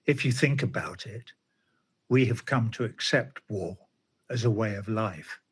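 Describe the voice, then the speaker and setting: Slow husky sardonic male commentator. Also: fairly low pitch. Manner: sardonic, rhetorical questions. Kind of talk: spoken essay monologue.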